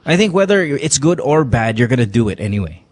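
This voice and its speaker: A man's voice: medium pitch, big pitch swings, a little fast. A man hosting a call-in advice show, joking and frank, who drops Tagalog words into his talk. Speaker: radio advice show host. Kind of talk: casual call-in advice chat.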